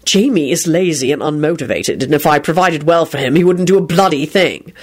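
A gruff middle-aged father in an audiobook, played by a woman reader, blunt and easily cross. The delivery is animated, impatient and impassive by turns. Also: deep voice, a little fast.

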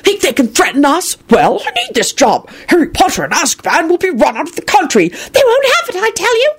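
Shrill, venomous female official , as a woman reader puts her on; very high and lively. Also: a little fast, exaggerated voice. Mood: shrill, spiteful. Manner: shrieking, spiteful.

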